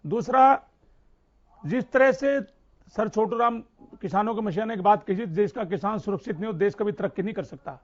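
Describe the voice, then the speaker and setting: A man with a high voice argues passionately as a farmer leader on a debate panel. He is insistent and repeats his phrases for emphasis, and the audio is dull and lo-fi. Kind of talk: impassioned political debate.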